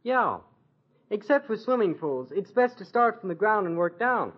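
A muffled vintage radio recording of a work-shy teenage son. The voice is high and boyish, bouncing around in pitch with an easygoing, slangy delivery. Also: male voice, sing-song, moderate pace. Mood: eager. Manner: boyish slangy drawl, eager to dodge work. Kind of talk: 1950s radio sitcom acting. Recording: dull old radio broadcast recording.

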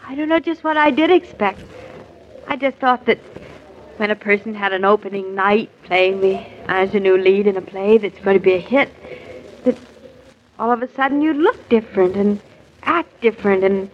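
An actress playing a wistful, self-doubting young wife in an earnest, sometimes halting 1940s radio drama style. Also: female voice, medium pitch, lightly acted. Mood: wistful, unsure. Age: young adult. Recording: muffled, noisy 1940s radio recording.

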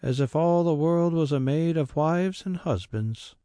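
A man's voice: fairly high pitch, unhurried, mellow, clean tone, no room sound, studio quality, pitched above the performer's own voice, lightly acted. A man voicing a prickly country housekeeper. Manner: rustic speech, prickly. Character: prim middle-aged woman housekeeper, touchy.

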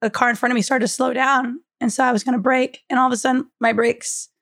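A woman co-hosting a podcast, hoarse and losing her voice after a cold, telling a story in casual chat. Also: high-pitched, steady tone, fast talker, a bit bright, no room sound, studio quality. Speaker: podcast co-host.

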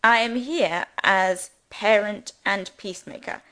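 A woman's voice: mid-range voice, a little slow, bright, forceful tone. A woman reading the part of a pompous, sermonizing male country rector who loves grand words. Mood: sanctimonious.

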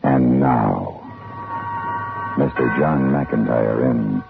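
Man, ominous dramatic announcer, slow, gravelly, 1950s radio thriller, narrow-band sound. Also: very deep voice. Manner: ominous, portentous. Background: loud music.

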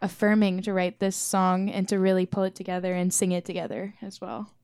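A woman's voice: mid-range voice, fairly even intonation, brisk, a little mellow, smooth, no echo. A woman speaking casually as a band member in an interview.

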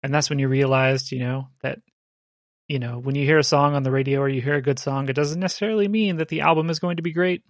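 Male podcast co-host, mid-pitched, chatty explainer, dry close sound. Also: steady tone, fast, no room sound. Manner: chatty, explaining with rhetorical "right?". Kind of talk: casual chat.

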